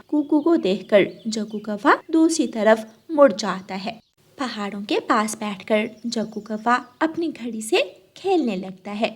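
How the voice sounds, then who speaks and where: A woman narrating a scripted children's story as its narrator. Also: fairly high pitch.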